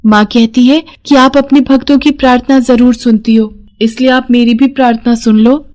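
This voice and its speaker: An innocent little girl from a storybook, acted by a woman. The voice is high and childlike, with an earnest, pleading way of talking. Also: steady tone, normal speed, darkish tone, natural voice. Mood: sad, pleading.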